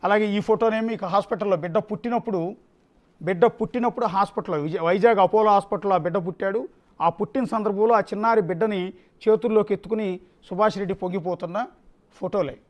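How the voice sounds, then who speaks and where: A man's voice: high voice, quick pace. A man anchoring the news, giving emphatic unscripted commentary and repeating phrases for effect. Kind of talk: live news commentary, extempore.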